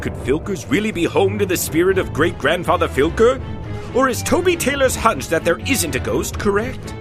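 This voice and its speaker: A melodramatic, high-pitched serial announcer. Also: male voice, big pitch swings, moderate pace. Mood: ominous, excited. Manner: suspenseful, theatrical. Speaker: narrator. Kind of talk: old-time radio serial narration. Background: loud music.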